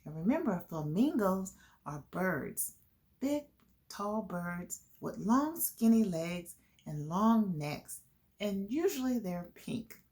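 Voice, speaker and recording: A woman's voice: medium pitch, very animated, slow, darkish tone. A woman hosting a children's storytime, reading a picture book aloud and explaining it to young listeners. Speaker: children's storytime host and reader.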